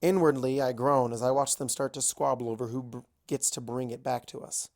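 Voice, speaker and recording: A man's voice: medium pitch, fairly even intonation, fairly quick, a little mellow. A man reading a first-person story aloud as an amateur narrator. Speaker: amateur fan fiction reader.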